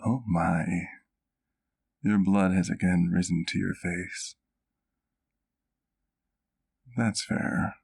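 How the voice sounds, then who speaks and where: A man playing a courteous, caring vampire doctor in an intimate ASMR roleplay, speaking formally and with measured, old-fashioned phrasing. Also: deep voice, creaky, a little mellow, full character voice. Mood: fond, reassuring. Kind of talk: ASMR roleplay, intimate one-sided dialogue to the listener.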